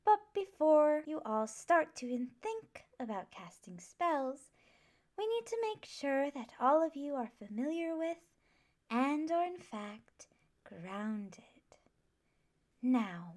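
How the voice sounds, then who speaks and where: Slow-paced gentle female magic professor, acted by a woman. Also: high voice, lively intonation. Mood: soft, reassuring. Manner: measured, patient, instructive, reassuring. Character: gentle, scholarly female magic professor addressing first-year class. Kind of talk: ASMR roleplay, classroom lecture.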